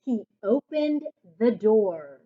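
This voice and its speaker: Lady doing storytime for little kids, highish voice that swoops around, slightly dull lo-fi sound. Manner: expressive, deliberate storytelling for young children. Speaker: reading coach reading aloud. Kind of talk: children's storybook read-aloud.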